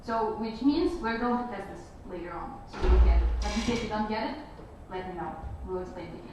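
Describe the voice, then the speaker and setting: A woman's voice: mid-range voice, a little fast, over music. A woman from Germany chairing a student assembly, presenting informally in an upbeat way. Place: large echoing room, heard from far off. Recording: very echoey hall, distant, clear noise.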